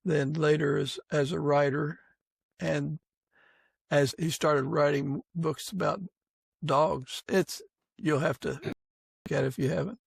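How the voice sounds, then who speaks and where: Gravelly, halting male podcast host. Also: a little high, steady tone, no echo. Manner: halting, pausing mid-sentence. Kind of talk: casual interview.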